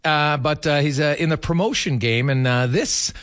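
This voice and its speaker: A man's voice: on the high side, moderate pace, a bit bright. A man hosting a sports radio show, chatting conversationally and circling around his questions as he interviews a guest.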